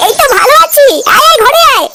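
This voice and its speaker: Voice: extremely high pitch, animated, fast talker, vocal fry, heavy background noise, heavily acted. A performer voicing a suspicious village auntie in a comedy cartoon, exclaiming and scolding. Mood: surprised.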